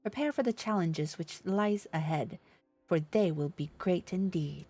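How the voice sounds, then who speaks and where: A woman's voice: on the low side, unhurried, warm tone. A woman reading aloud the lines of an earnest, formal leader of a fantasy order in a video game. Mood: earnest, resolute. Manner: formal, solemn, oratorical.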